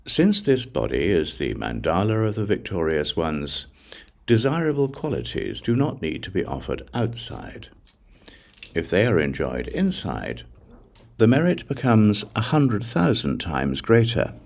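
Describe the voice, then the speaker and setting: A man narrating a Buddhist scripture as an audiobook, solemn and deliberate, in short measured phrases. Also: deep voice, wildly swooping pitch, creaky.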